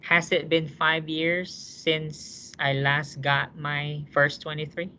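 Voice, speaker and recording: A man's voice: on the high side, flat delivery, slow, sharp tone, slight background noise. A man teaching a nursing class, walking students through cases with rhetorical questions and checking that they understand. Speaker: nursing instructor. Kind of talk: classroom lecture. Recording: dull lo-fi classroom recording.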